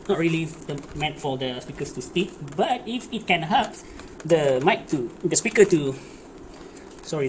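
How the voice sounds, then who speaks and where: A man with a slightly high voice talks through a casual DIY tutorial. His phrasing is improvised and hesitant, with pauses as he looks for words. There is some background noise around him. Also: a little mellow, low-quality recording. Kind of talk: casual hands-on how-to narration. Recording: clear background noise, ambient sound.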